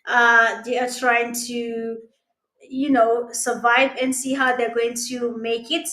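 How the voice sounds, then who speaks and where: A Kenyan woman whose first language is Swahili, giving live esports commentary in a conversational, hesitant way with pauses. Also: on the high side, level tone, bright, forceful tone. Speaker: esports caster. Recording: roomy, echoing sound.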